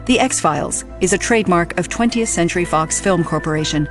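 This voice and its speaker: Lowish-voiced woman reading credits over music. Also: a little fast. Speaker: credits announcer.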